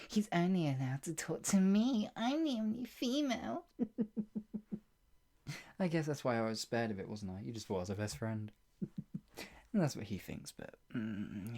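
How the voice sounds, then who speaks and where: A performer playing a sadistic, obsessive yandere captor in an improvised ASMR roleplay, creaky, taunting and drawn out, slipping between talk and whispers. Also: medium pitch, a little mellow, heavily acted. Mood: gleeful taunting, then sinister, relishing. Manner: taunting, drawn-out delivery with frequent giggles and whispers.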